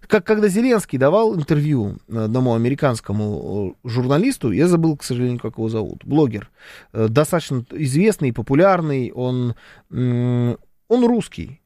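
A man's voice: mid-range voice, moderate pace, no room sound, clean studio sound. A man giving casual political commentary, reading out viewer comments with sarcasm and frequent hesitations. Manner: animated, sarcastic, talks with hesitations.

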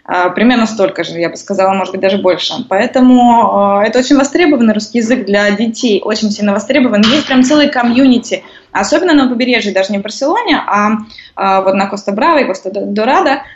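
A woman's voice: medium pitch, quick pace. A woman in her thirties, a guest explaining things in casual conversation, with many hesitations. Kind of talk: conversational interview. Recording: lo-fi muffled audio.

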